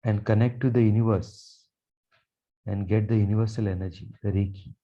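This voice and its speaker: A man guiding a meditation with affirmations in a slow, calm, soothing delivery with long pauses. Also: fairly low pitch. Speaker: meditation guide, Reiki teacher. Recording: dull, muffled lo-fi sound.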